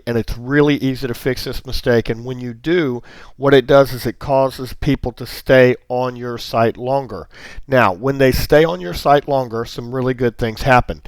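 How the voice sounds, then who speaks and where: A man with a slight laryngitis rasp explains things step by step in a friendly teaching tone. His voice sits at an ordinary middle pitch. It is recorded close and dry, with no room sound. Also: no echo. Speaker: online marketing teacher. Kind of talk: screen-recorded tutorial.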